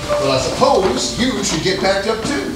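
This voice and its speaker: An actor playing an earnest, stubborn show cowboy in a stage melodrama, plain-spoken and folksy. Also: male voice, sits high, big pitch swings, moderate pace. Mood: dry. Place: theater stage, recorded from a distance. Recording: echoey stage recording from far off, music.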